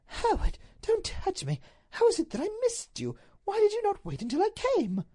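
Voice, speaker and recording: A woman voicing an anguished, highly strung duchess in a storybook reading, pleading and breathless in a melodramatic way. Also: huge pitch swings, moderate pace, mellow, full character voice. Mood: reproachful.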